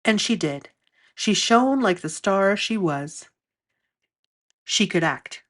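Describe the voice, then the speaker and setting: A woman reading a children's picture book aloud as a storyteller, with expressive, deliberate phrasing and drawn-out emphasis. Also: medium pitch.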